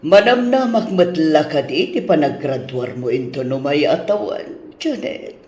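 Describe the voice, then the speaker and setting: A man's voice: fairly high pitch, animated, darkish tone. A man playing a caring but strict older mother-in-law who nags and admonishes in an Ilocano audio drama. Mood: encouraging. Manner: slow, admonishing, drawn-out motherly speech. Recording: roomy, echoing studio sound.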